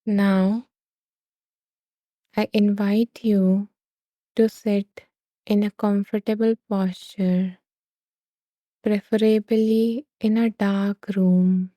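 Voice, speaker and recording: A woman leading a guided meditation in a slow, soothing way, with long gentle pauses. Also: mid-range voice, little pitch movement, no echo.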